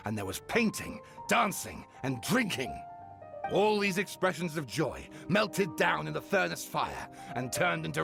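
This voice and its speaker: A man's voice: on the high side, gravelly, pushed and bright, exaggerated voice. A man voicing a poetic storyteller in a video game, declaiming an old legend theatrically.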